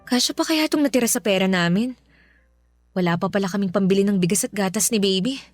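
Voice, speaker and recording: A woman's voice: mid-range voice, fast, no echo, clean studio sound, faint music behind, close to an everyday voice. A woman playing a put-upon young Filipino mother in a radio drama, plaintive and politely protesting. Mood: worried.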